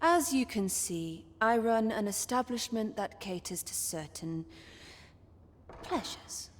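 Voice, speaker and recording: A woman's voice: a little high, expressive, slow pace, a little hiss or hum. The coy mistress of a brothel in a fantasy game, haughty and flirtatious, her voice swooping playfully as she toys with a visitor. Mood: seductive, businesslike. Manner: teasing, haughty, archaic formal phrasing. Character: sly, flirtatious lady who runs a pleasure house. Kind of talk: video game voice acting.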